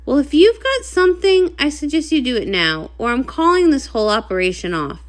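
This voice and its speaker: A woman's voice: high-pitched, sing-song, a little fast. A woman voicing a commanding, no-nonsense female pony guard captain in a storybook, brusque and skeptical. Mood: impatient, skeptical.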